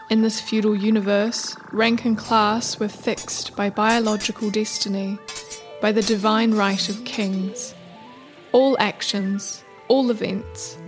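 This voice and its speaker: Woman narrator reading a story really slow, medium pitch, soft music underneath.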